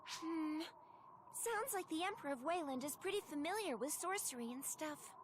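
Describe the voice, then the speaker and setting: A woman's voice: high voice, expressive, normal speed, a little hiss or hum. A woman voicing a small, childlike companion in a video game, earnest and inquisitive. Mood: puzzled.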